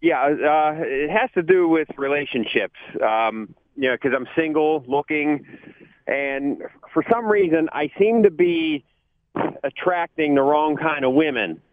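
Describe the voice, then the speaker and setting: A man's voice: a little high, animated, measured pace, no room sound. A man calling in to a radio advice show, hesitant and searching for words. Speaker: caller. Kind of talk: phone call to a radio show. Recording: tinny phone line.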